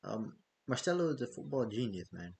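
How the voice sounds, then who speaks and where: A man's voice: medium pitch, big pitch swings, brisk, vocal fry, darkish tone, husky. A man hosting a football fan vlog, chatting loosely with frequent fillers and self-corrections. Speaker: football fan channel host. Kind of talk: casual news vlog.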